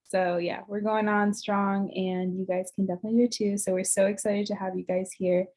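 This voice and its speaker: Flat-voiced young woman introducing herself. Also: medium pitch, a little fast. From United States. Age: 20s. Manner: upbeat, encouraging. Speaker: club vice president. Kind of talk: casual presentation to a student group.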